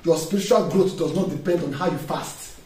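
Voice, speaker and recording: A man preaches a sermon, high in pitch for a man and emphatic, often pausing to draw answers from his listeners. His voice reaches the mic from some distance and rings around an echoey church hall. A faint hum of noise runs under it. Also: a little mellow. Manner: emphatic preaching with call-and-response questions. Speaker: pastor.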